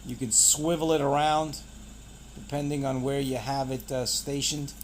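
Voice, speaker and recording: A man's voice: mid-range voice, flat delivery, moderate pace, a little hiss or hum. A man hosting a casual product unboxing, pointing things out in short, clipped phrases. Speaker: product reviewer and channel host.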